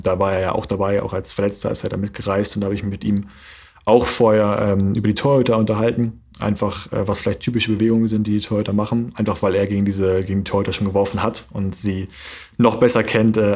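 A young German man in his twenties with a slightly low, husky voice, answering as a handball player. He talks thoughtfully and stops often to search for words. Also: darkish tone. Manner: thoughtful, many hesitation fillers. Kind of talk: interview answers.

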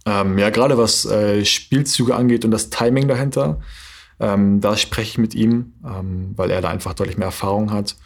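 Husky young man, halting interview answers. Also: lowish voice, steady tone. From Germany. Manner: thoughtful, many hesitation fillers. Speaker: handball player, guest.

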